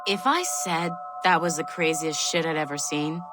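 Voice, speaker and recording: A woman's voice: low-pitched, normal speed, music in the background, lightly acted. An actress playing a blunt, sardonic modern woman in a video game, talking in a dry, casual way.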